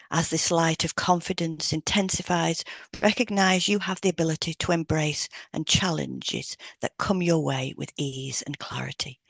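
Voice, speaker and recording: Gently creaky woman, measured and soothing. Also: low-pitched, no room sound. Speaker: podcast co-host and hypnotherapist. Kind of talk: guided relaxation script reading and scripted podcast discussion.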